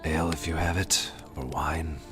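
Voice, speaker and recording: A man playing a gruff, terse, wounded witcher in an audio drama, with a low rumbling, halting delivery. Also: steady tone, a bit bright, faint music behind. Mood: weary, terse.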